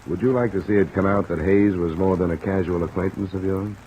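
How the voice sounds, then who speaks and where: Man acting hard-boiled American detective, low voice, clipped and insistent, dry cynicism, old-time radio drama, light rain noise. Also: fairly even intonation, a little fast, dark tone, natural voice, low-quality recording. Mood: pressing, hard-boiled. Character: tough, dogged male insurance investigator, cynical and pressing. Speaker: lead actor and first-person narrator. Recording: vintage radio recording under rain noise.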